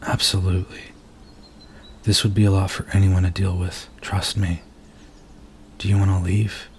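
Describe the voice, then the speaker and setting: A man playing a nervous, earnest werewolf in an intimate ASMR roleplay, speaking softly and haltingly as he confides in his date. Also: deep voice, little pitch movement, slight background noise. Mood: gentle, reassuring. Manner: soft-spoken, hesitant, halting, earnest.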